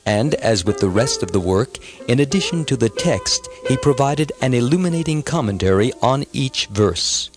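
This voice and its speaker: A man's voice: mid-range voice, animated, moderate pace, hardly any pauses. A man reading scripted narration as an audiobook narrator. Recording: music behind the voice. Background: music.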